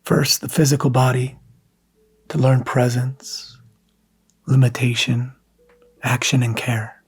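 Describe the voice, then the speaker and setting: Guy leading a guided meditation, super slow and even, soft hushed voice w long pauses. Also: medium pitch, breathy edge.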